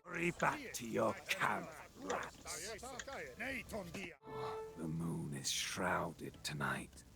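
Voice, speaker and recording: A man playing a ruthless Spartan warrior in a game, with a gravelly, sneering and menacing delivery. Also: huge pitch swings, drawn-out words, over loud music, full character voice. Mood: sneering menace. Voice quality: gravelly, often hissing low. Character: ruthless, contemptuous Spartan warrior who relishes night raids.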